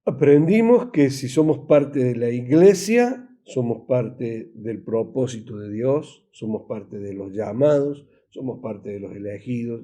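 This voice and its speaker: Male pastor, Argentine accent, very mellow tone, slow halting delivery, informal preaching. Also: mid-range voice. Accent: Argentine Spanish. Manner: halting, repetitive, with rhetorical questions. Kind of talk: informal recorded sermon.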